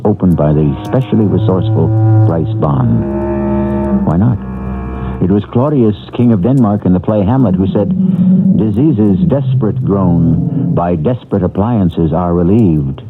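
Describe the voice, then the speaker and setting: A man with a very deep voice introduces and narrates a radio mystery in a grave, theatrical manner. The old broadcast sound is thin and phone-like, with music playing behind him. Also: a little slow. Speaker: radio drama host and narrator. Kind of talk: dramatic scripted narration.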